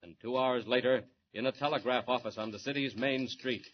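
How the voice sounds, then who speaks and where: A man's voice: medium pitch, fairly quick. A man narrating a scripted 1940s radio serial in an urgent, declamatory, dramatic manner. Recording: muffled, noisy old radio recording.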